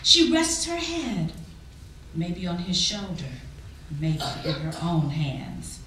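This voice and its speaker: Woman doing dramatic spoken word poetry, slow and deliberate, really echoey and far from the mic. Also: fairly low pitch. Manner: expressive, deliberate, with drawn-out pauses. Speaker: poetry reader at a church performance. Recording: echoey hall, distant mic, faint noise.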